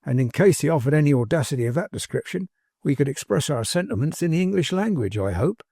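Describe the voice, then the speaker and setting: A man's voice: fairly high pitch, animated, fast talker, mellow, husky, dry recording. Storybook role read by a man: plain-spoken working-class servant lad, loyal and fervent, punchy emphasis, dry studio sound. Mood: scornful, fervent. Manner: emphatic, colloquial, ungrammatical, insistent. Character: cheery working-class young manservant, loyal, bold, scornful orator. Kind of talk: dramatised audiobook character dialogue.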